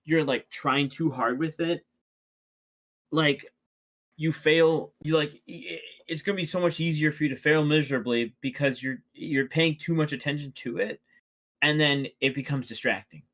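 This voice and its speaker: A man's voice: a little high, normal speed, a bit bright. A man chatting casually during a watchalong stream, rambling with many restarts and fillers. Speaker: watchalong co-host. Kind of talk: casual reaction chat.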